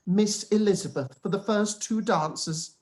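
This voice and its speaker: A man's voice: sits high, a little slow, a little mellow. A man playing a pompous, obsequious clergyman cousin in a period radio play, speaking in a formal, wordy, self-satisfied way.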